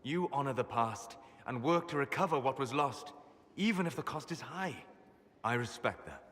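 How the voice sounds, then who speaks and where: An actor playing a wise, aloof male elf mage in a game cutscene, measured and soft-spoken. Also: medium pitch, lively intonation, a little hiss or hum, close to an everyday voice. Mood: melancholy. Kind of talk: video game cutscene dialogue. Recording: game audio with long reverb, faint ambience.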